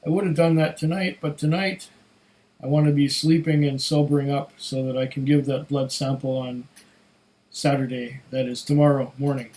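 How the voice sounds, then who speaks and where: A man with a slightly hoarse voice giving a casual solo tasting review, musing in an unhurried, savoring way and talking to himself. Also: mid-range voice, steady tone, warm tone, rough recording. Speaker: whisky reviewer.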